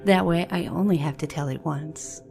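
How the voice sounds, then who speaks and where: A woman's voice: low voice, normal speed, creaky, darkish tone, soft background music. A woman acting understated, dry, battle-worn heroes in a fantasy audio drama. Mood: wry.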